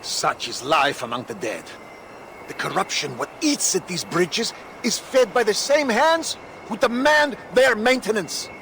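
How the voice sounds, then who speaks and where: A downtrodden bridge mender in a dark fantasy game, enslaved among the dead. His high voice crackles with gravel and swings widely in pitch, grim and resigned. A faint noisy outdoor ambience sits behind him. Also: animated, a little slow, heavily acted. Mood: weary resignation. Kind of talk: video game character acting.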